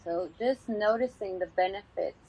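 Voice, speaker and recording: A woman teaching a guided meditation calmly and unhurriedly, pausing often between short phrases. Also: mid-range voice, breathy edge. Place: outdoors in a garden. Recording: slightly dull sound with birdsong. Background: birdsong.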